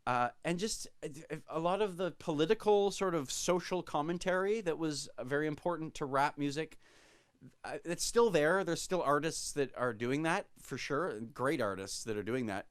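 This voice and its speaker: A man's voice: fairly high pitch, smooth, dry recording, studio quality. A man in his forties from Quebec, a musician guest giving long, reflective answers that ramble and correct themselves mid-thought on a video call. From Quebec, Canada. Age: 40s. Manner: rambling, self-correcting, halting.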